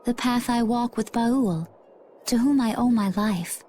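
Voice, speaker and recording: A woman's voice: on the high side, unhurried, warm tone, natural voice. A woman playing a serene, composed, mysterious young woman in a fantasy video game cutscene. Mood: serene, explanatory. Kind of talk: English game cutscene voice acting. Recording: faint ambient noise behind.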